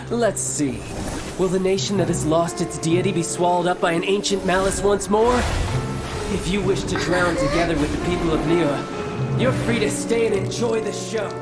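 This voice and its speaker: A man's voice: sits high, unhurried. A man playing a smug, theatrical, menacing villain in a video game, with grandiose taunting delivery. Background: loud game music.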